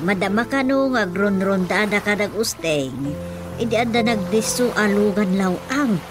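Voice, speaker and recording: A woman's voice: medium pitch, normal speed, close to an everyday voice. An actress playing a worried, talkative mother in an Ilocano radio drama. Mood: fretful. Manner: fretful, chattering. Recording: radio drama with music behind.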